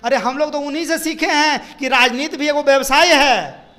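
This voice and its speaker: A man's voice: extremely high pitch, moderate pace, pushed and bright. A man with a Bihari accent giving political commentary as a party spokesperson, emphatic and repetitive, often posing rhetorical questions.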